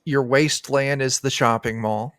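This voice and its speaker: A man's voice: mid-range voice, steady tone, moderate pace, fairly bright tone, no room sound. Man chatting, dry close sound. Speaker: guest game designer. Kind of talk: casual interview chat. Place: indoor room, on a video call.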